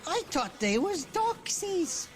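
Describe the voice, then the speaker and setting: An actor playing a cowardly, wheedling Irish drunk in a western video game, whining and excitable. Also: male voice, very high-pitched, slow, crowd noise, heavily acted. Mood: sheepish, wheedling. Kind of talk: video game cutscene acting.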